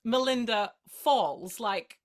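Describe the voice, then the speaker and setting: A woman's voice: a little high, slow. A woman acting in a naturalistic drama as a playful, caring best friend with a light, teasing, encouraging manner. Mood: playfully teasing.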